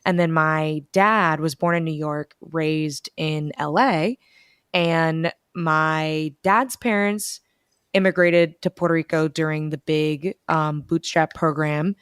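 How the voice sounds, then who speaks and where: A young American woman talks over a video call with a smooth, clear voice that sits a little low. The sound is dry and close, with no room around it. She chats easily and acts out her own thoughts in quoted asides. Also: slow pace, dry recording. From United States. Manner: chatty, animated storytelling with quoted asides. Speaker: bookstore events director, guest.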